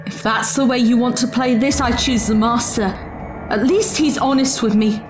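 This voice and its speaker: A woman's voice: a little high, fairly even intonation, moderate pace, over music, close to an everyday voice. A woman acting a principled, disillusioned female travelling companion in an audio drama. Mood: indignant, reproachful.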